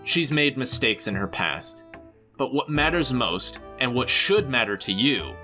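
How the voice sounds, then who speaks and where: Man, forceful bright tone, earnest, reproachful, scripted statement, faint guitar backing. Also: mid-range voice, lively intonation, a little slow. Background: guitar music.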